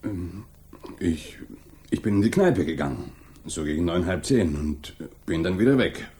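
A man's voice: deep voice, animated, a little slow, mellow, a little hiss or hum. An actor playing a worn-down, guarded father under suspicion in a radio crime drama, speaking tersely and hesitantly. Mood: hesitant, evasive. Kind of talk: 1980s German radio crime drama acting.

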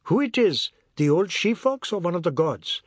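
A man's voice: sits high, very animated, moderate pace, slightly rough, no echo, studio quality, voice pushed up, heavily acted. A man voicing an irritable, mocking husband with a Caribbean accent in a narrated story. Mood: mocking irritation. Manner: indignant, mocking, muttering at times. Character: irritable, jocularly defiant husband, grumbling and provocative.

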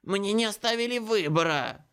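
A man's voice: high-pitched, moderate pace, fairly bright tone, clear, resonant tone, pitched above the performer's own voice. A man voicing a cowardly young changeling who whines and pleads in a panic, read as an audiobook character. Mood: panicked pleading.

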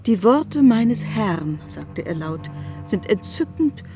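A woman's voice: mid-range voice, big pitch swings, slow, music in the background. A woman narrating a literary radio drama as a scripted storyteller.

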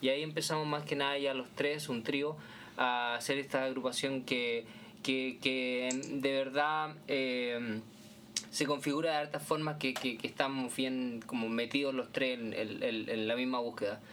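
Casting: man, band singer being interviewed, medium pitch, informal, repetitive searching phrasing, faint noise. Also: steady tone, normal speed, a bit bright. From Chile. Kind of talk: casual interview chat.

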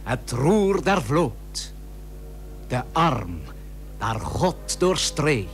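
A man's voice: mid-range voice, very animated, very slow, faint music behind. A man giving solemn, declamatory scripted narration for an old radio drama. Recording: old radio recording with faint hum.

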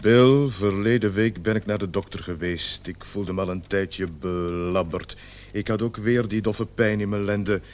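An actor playing a gruff, impatient, bossy American police sergeant in a Dutch radio play. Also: male voice, on the low side, moderate pace, close to an everyday voice. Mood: reading aloud. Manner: bossy, curt, irritable, swearing.

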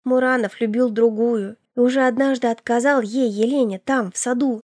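A woman's voice: a little high, steady tone, normal speed, a little mellow, dry recording, clean studio sound. A woman reading first-person fiction as a scripted audiobook narration.

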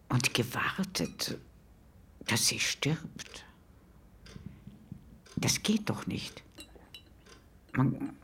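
An actress playing a troubled, gossipy neighbour, giving her account in halting, colloquial speech for a documentary-style radio play. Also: rumbling low, creaky, slight background noise, full character voice.

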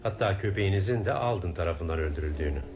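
A man acting in a radio play as a calm, courteous investigator who speaks in a polite, deliberate, probing way. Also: low voice.

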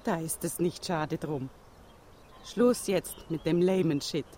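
A woman's voice: low voice, slow pace, dark tone. An actress playing a cool, brash female stoner with a slangy, offhand manner in a verse radio play. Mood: cool, enticing. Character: cool, brash dope-offering stoner named Edeltraud, female.

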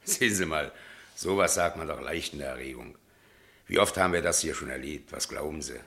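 An actor playing a brusque, skeptical police inspector with an officious, dismissive manner in a German radio play. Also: male voice, rumbling low, fairly quick, a bit bright.

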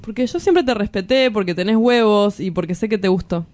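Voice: mid-range voice, a little fast, darkish tone, lightly acted. An actor with an Argentine accent playing a cocky, romantic kidnapper in an audio drama, teasing and breezy. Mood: coaxing. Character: cocky young male kidnapper, sly romantic schemer. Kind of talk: dramatic dialogue acting.

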